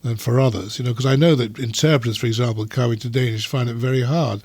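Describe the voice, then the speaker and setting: A man's voice: mid-range voice, steady tone, fast, darkish tone, no room sound. A man, a podcast guest who chats in a rambling way, full of restarts and "you know"s. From England. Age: 70s. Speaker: podcast guest, former conference interpreter. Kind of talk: casual interview chat.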